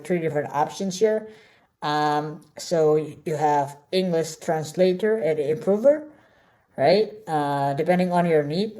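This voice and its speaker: Woman course tutor, Indonesian native speaker, low and mellow voice, unhurried, chatty with lots of "all right?" check-ins. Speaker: online course instructor. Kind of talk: screen-share tutorial.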